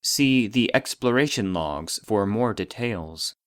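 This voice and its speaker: A man voicing an impersonal database, reading formal classified reports in a measured, clinical manner. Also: fairly low pitch, lively intonation, clean tone, dry recording. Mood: clinical, detached. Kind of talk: scripted narration of a formal report.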